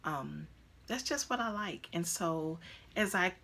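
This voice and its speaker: A woman's voice: on the low side, expressive, slow, bright, forceful tone, slight background noise. A woman in her fifties hosting a crafting podcast, chatting casually at home with lots of ums and restarts. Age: 50s. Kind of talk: casual chat to camera. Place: at home, indoors.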